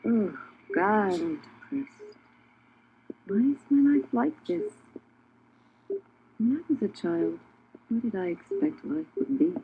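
A woman's voice: medium pitch, big pitch swings, slow, long pauses, husky, heavily acted. An actress playing a weary, introspective divorced mother who works as a supermarket checker, wistful and hesitant, in naturalistic audio theater. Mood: melancholy. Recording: tinny band-limited sound, faint music.

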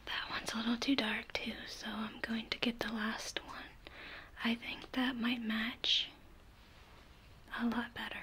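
A young woman whispers an ASMR consultant roleplay at a very slow pace. Her breathy delivery is soft and even, with little melodic movement. Clear background noise sits behind her. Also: a little high. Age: young adult. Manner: soft, unhurried, attentive customer-service talk. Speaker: ASMR creator playing a makeup consultant. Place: small furnished living room at home. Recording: noticeable background hiss and room noise.

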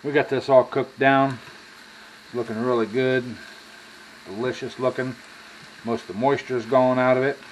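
An older American man in his sixties hosts a casual cooking demonstration from his home kitchen. His voice sits at an ordinary male pitch and his talk is folksy and informal. Some steady noise runs behind him. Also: dull audio. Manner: folksy, drawn-out asides with y'all. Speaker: home cook hosting a cooking video. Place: home kitchen, indoors at the stove. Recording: clearly noisy home kitchen recording.

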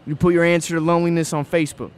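A man's voice: on the high side, level tone, rapid-fire, clear, resonant tone, lightly acted. Actor as a restless, earnest small-town young man. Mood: searching, eager. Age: young adult. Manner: eager, folksy, blunt questioning. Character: restless, earnest small-town Tennessee youth, about twenty. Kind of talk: audio play acting before a live audience.

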